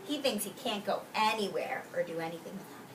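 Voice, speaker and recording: A woman teacher reading a picture book aloud to young children, expressively and deliberately, drawing out key words and explaining simply. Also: mid-range voice. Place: indoors in a classroom with young children. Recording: roomy distant mic with faint background noise.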